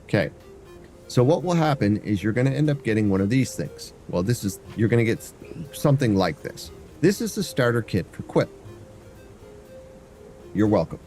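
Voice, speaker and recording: A man's voice: lowish voice, big pitch swings, moderate pace, long pauses, darkish tone, faint music behind. A man with a stuffy nose chatting casually and rambling while he walks through a game on a live stream. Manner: rambling, thinks aloud, hums to himself. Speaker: variety streamer. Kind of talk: casual gameplay commentary.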